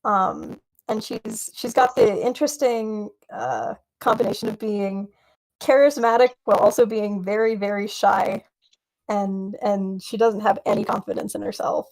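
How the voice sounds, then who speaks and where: A woman's voice: medium pitch, steady tone, measured pace, creaky, darkish tone, poor audio. A young woman answering questions as a guest in a casual online interview, rambling with fillers and playful asides. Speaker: interview guest, roleplay player.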